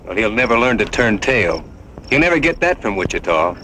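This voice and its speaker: An actor playing a stubborn cattle rancher in a Western drama, terse and defiant, with an American Western accent. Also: male voice, fairly low pitch, sing-song, brisk, pushed and bright, slight background noise, rough recording. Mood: resolute.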